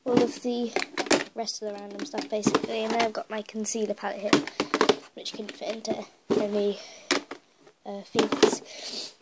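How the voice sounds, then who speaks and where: A young woman with a cold and a croaky voice, chatting casually with lots of fillers as she shows things in her bedroom. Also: mid-range voice, level tone, moderate pace, pauses often, heavy background noise. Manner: chatty, rambling with many fillers. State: hoarse from a cold. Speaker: beauty vlogger. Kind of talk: casual makeup collection tour. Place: bedroom at home, indoors. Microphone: phone camera held in hand.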